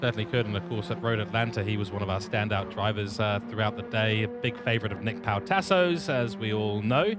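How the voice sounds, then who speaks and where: A man calling a sim race live as lead commentator, lively and playful, with self-deprecating asides. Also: lowish voice, fairly quick, hardly any pauses. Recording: steady background noise.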